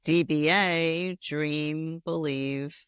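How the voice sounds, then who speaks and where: A woman's voice: sits low, steady tone, very slow, smooth, no echo, studio quality. A woman co-hosting an interview show, asking questions in a friendly, conversational way. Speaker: podcast co-host.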